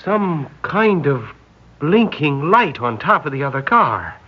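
A man's voice: fairly high pitch, very animated, takes it slowly, husky, exaggerated voice. A man acting an earnest, sentimental young sailor in a classic radio drama. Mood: shocked. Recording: dull old radio recording with music.

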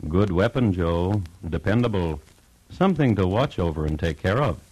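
An actor playing a wry, literary crime storyteller, narrating a vintage radio drama in deliberate, clipped phrases. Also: male voice, sits low, animated, a little mellow, breathy edge, poor audio. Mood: wry, measured. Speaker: narrator and host. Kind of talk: 1950s radio scripted narration.

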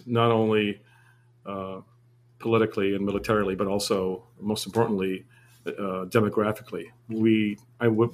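A low-voiced man in his forties, from Lebanon, recounting his life to interviewers. His delivery is flat and even, measured and unhurried, with plenty of ums and uhs. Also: a little hiss or hum. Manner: steady, measured storytelling with frequent fillers. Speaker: guest. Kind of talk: casual interview.

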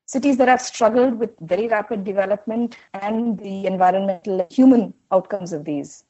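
A woman's voice: mid-range voice, normal speed, mellow, highs cut off. A woman from Bangalore with a South Indian accent, an academic guest explaining her work thoughtfully in an interview.

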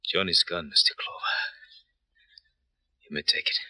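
A man's voice: low voice, steady tone, a little slow, bright, forceful tone, dry recording, whispered, heavily acted. An actor playing a vengeful barrio man in an old radio crime drama, accusing and menacing. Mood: grim, subdued. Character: vengeful man from the barrio avenging his murdered brother. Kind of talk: 1940s-50s radio crime drama acting.

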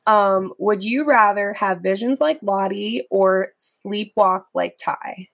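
Casting: hoarse, gravelly woman co-host, chatty recapper, fry in the voice. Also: mid-range voice, slow pace. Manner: chatty, recaps scenes with lots of "like". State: hoarse from recovering illness. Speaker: podcast co-host. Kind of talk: casual chat.

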